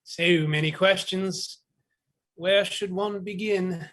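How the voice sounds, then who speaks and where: A man plays a coldly haughty captain in a stage drama. His voice is high for a man and measured, each clipped, formal phrase carrying threat and disdain. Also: fairly even intonation, fairly bright tone. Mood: cold, threatening. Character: menacing, haughty captain, coolly interrogating. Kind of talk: theatrical stage play reading.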